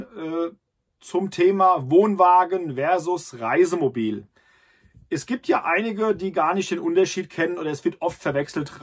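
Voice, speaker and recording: A man's voice: on the high side, breathy edge. A man in his thirties, host of a camping channel, talking casually to the camera from inside a camper, with many fillers and restarts.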